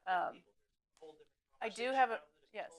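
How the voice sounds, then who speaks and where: A woman on county planning staff moderating a public meeting, polite and measured with frequent ums. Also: a little high, very noisy.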